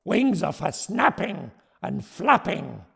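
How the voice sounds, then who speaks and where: A man's voice: a little high, sing-song, slow, vocal fry, fairly bright tone, breathy edge, full character voice. A man voicing a fiery male dragon bully in a storybook, roaring and snorting with scorn. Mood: scornful roaring.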